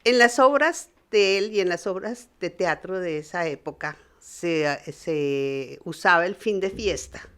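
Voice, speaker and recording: Lowish-voiced woman explaining informally. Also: fairly bright tone. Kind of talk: conversational recollection and explanation.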